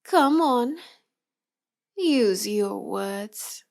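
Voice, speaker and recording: A woman's voice: medium pitch, lively intonation, creaky, exaggerated voice. A woman playing a cute, teasing young villainess in an intimate roleplay audio, drawing out her words as she mocks the listener. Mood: teasing, mocking. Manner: slow, teasing delivery with drawn-out words and giggles. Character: cute, teasing, mocking young villainess with mind-control powers.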